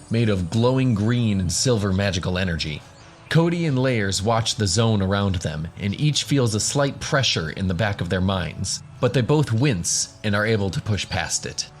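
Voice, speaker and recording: A man's voice: fairly low pitch, animated, normal speed, slight background noise. A man narrating a scripted fantasy audio drama in the role of game master.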